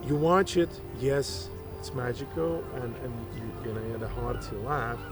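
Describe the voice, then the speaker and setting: A man, speaking English as an in a relaxed interview. His pitch sits mid-range, and he tells animated stories that bring quoted voices to life. Clear music plays behind him while he talks outdoors. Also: normal speed. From Estonia. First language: Estonian. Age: early 40s. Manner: animated storyteller, acts out quoted dialogue. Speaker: stand-up comedian guest. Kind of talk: casual interview. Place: park outdoors.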